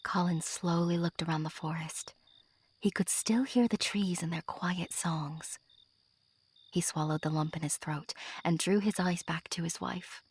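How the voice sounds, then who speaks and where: A woman narrating a scripted story in a hushed, breathy storytelling manner. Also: fairly low pitch, fairly even intonation, a little slow.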